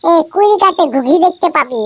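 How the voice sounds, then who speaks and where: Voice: very high voice, expressive, brisk, a little hiss or hum. A performer with a Purulia Bengali accent voicing a brash, scheming village boy in a comedy cartoon, boastful and whining in rural dialect. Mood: vengeful. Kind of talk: exaggerated comedy cartoon dialogue.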